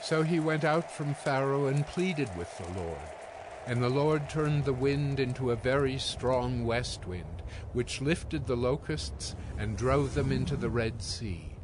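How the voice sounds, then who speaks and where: A man's voice: mid-range voice, lively intonation, unhurried, noisy background. A man narrating a scripted scripture reading.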